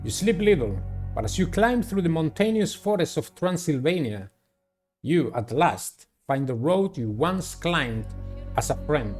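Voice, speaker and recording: Higher-voiced man narrating a game playthrough. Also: very animated, a little slow, darkish tone, soft background music. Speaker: gamer playing and narrating a visual novel. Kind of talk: dramatic read-aloud with casual playthrough commentary.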